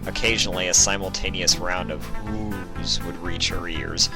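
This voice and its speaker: A man's voice: sits low, level tone, fairly quick, vocal fry. A man reading a story aloud in a scripted narration style. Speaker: fan fiction narrator. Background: music.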